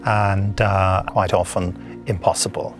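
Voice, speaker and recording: A man giving measured, explanatory interview commentary. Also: low voice, little pitch movement, soft background music.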